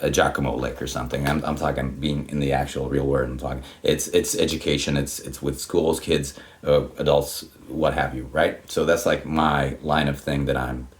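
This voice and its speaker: Very deep-voiced man rambling. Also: little pitch movement, moderate pace, few pauses, a little hiss or hum. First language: Swedish. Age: 30s. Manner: rambling, many restarts and swearing. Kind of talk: casual storytelling.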